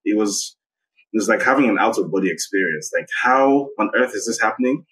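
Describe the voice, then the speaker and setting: A man, a guest recounting a personal story in a measured, reflective way. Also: lowish voice, animated, a bit bright, a little hiss or hum, low-quality recording. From Nigeria. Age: 30s. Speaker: guest, investigative journalist. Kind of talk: personal account in interview.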